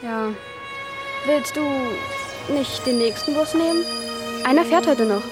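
A woman playing an insistent, pleading, naive teenage village girl in a radio drama, talking haltingly. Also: high-pitched, over music. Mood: hesitant, reserved.

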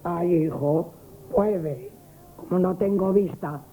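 Slow, sly old lady. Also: fairly low pitch, dark tone. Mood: unhurried. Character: short-sighted elderly lady customer, quietly sly. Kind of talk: 1970s radio comic theatre acting. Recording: dull, noisy vintage radio broadcast.

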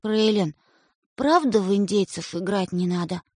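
A woman voicing a stubborn, capricious little boy who speaks slowly and hesitantly. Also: mid-range voice, expressive, dry recording, studio quality. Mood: defiant.

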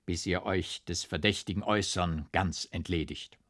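A man's voice: low voice, moderate pace, no room sound, clean studio sound. A man voicing a sly, pompous village judge who speaks in grand, mock-formal tones. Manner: mock-formal, lively, declaiming.

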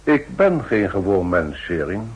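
A man playing a shrewd, authoritative statesman in formal, measured speech for an old radio play. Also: low-pitched, sing-song, slightly rough, full character voice, poor audio. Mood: imperious. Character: shrewd, commanding male excellency, a powerful statesman. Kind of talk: 1960s Dutch radio play acting.

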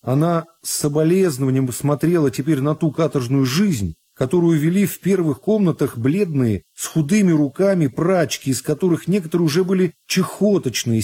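Mellow-voiced man narrating a classic novel. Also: a little high, animated, a little fast, few pauses, breathy edge. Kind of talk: scripted literary narration.

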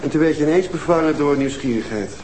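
A man plays a blunt young detective who fires off sarcastic jabs at suspects. He talks fast, in a roomy, noisy TV soundtrack. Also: fairly high pitch, a little mellow, natural voice. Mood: needling. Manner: sarcastic, needling.

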